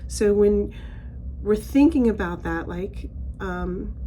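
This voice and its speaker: A middle-aged woman giving a casual spiritual card reading, her voice slightly raspy as she recovers from laryngitis, chatty and rambling with many fillers and restarts. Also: mid-range voice, lively intonation, slow pace, mellow, a little hiss or hum. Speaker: oracle card reader.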